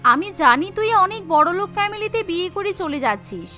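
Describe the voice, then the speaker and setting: A woman plays a humble young cartoon wife. She speaks earnestly and a little plaintively in a very high, bright voice. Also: very animated, brisk, faint music behind, full character voice. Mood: hurt.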